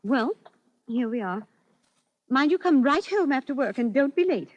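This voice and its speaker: High-voiced, coaxing radio widow. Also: female voice, expressive, normal speed, no room sound, dull audio. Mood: brisk, managing. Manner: proper, fussing, softly controlling. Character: genteel, coaxing widow, sweetly managing. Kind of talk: old-time radio acting. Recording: faintly noisy old radio recording.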